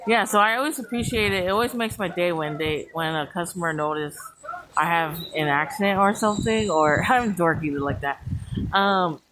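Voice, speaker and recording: Lower-pitched woman, West Coast island accent. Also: moderate pace, a bit bright, slight background noise, poor audio. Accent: American West Coast with Hawaiian island influence. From Hawaii, California and American Samoa, US. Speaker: vlogger. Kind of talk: casual rambling chat to social media.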